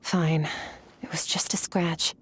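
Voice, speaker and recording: A woman playing an aloof, stoic young swordswoman in an anime-style game, terse, cool and deadpan. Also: sits low, slow, in a whisper. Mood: guarded, stoic.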